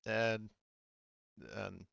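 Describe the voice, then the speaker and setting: Man, game master, smooth low voice, casual improvised narration, halting delivery, dull dry sound. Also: flat delivery, fairly bright tone, no echo. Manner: halting, informal, swears casually.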